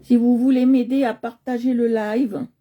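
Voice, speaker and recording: A woman's voice: fairly high pitch, fairly even intonation, normal speed, muffled recording. A woman from Nice hosting a live coloring stream, chatting casually with many hesitations and fillers, talking into a computer at home. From Nice, France.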